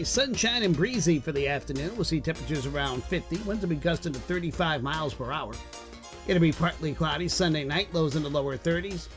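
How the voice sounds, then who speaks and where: Higher-pitched male weather forecaster over music. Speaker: meteorologist. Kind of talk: scripted radio weather forecast. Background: guitar music.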